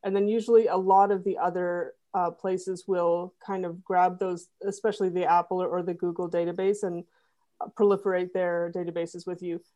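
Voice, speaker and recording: A woman's voice: lowish voice, level tone, moderate pace, mellow. A woman guest on a podcast, talking casually with lots of fillers and restarts. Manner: rambling, many fillers and restarts. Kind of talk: casual chat.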